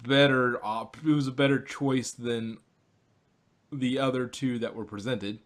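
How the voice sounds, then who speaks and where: A man's voice: medium pitch, fairly even intonation, unhurried. A man co-hosting a casual review chat, explaining references with frequent restarts. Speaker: podcast co-host.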